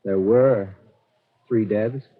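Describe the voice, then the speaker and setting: A man acting in a 1940s radio drama, playing a calm, shrewd desert man with a matter-of-fact, explanatory manner. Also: fairly low pitch, very slow. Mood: grave. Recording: muffled, noisy 1940s radio broadcast.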